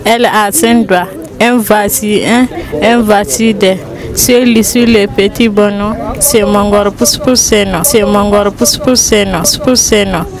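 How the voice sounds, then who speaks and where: A woman's voice: medium pitch, steady tone, measured pace, creaky. A teenage girl being interviewed outdoors, reciting a school rhyme in a sing-song way. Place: outdoors in a crowded street. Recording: outdoor crowd chatter.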